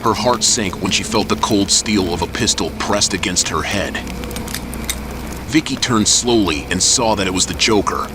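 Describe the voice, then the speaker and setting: A man narrating an audio drama in a steady, measured storytelling style. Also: on the low side, over music, rough recording.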